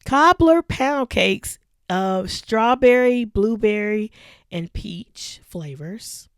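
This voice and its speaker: A hoarse woman co-hosting a podcast, chatting casually with laughs and slow, drawn-out lists. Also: medium pitch, expressive, no echo, studio quality. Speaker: podcast co-host. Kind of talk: casual chat.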